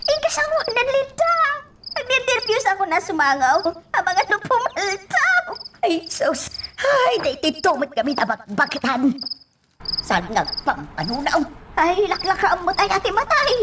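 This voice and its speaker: Woman acting a high-pitched, exclaiming Ilocano woman, noisy. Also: big pitch swings, moderate pace. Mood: flustered, complaining. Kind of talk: Ilocano radio drama acting.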